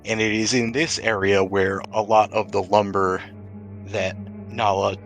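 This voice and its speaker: Low-voiced man narrating a tabletop game. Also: level tone, measured pace, rough recording. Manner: descriptive, improvised scene-setting. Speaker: game master. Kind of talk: narrating a tabletop role-playing game, conversational. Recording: music playing behind the voice.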